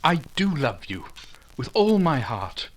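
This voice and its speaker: An actor playing a proud, ardent Regency gentleman suitor in old-time radio romance style, formal and earnest. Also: fairly high pitch, big pitch swings, low-quality recording. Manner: formal, earnest, halting when moved.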